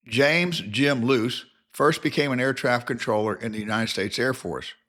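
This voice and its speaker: A man's voice: medium pitch, fairly bright tone. An older man narrating a scripted biographical documentary in a formal, careful reading manner.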